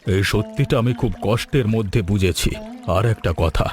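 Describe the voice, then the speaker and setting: A man playing a remorseful older landowner in a cartoon, once proud, speaking in a halting, confessional way. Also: fairly low pitch, darkish tone, lightly acted. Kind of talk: dramatic cartoon dialogue. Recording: music bed under the voice.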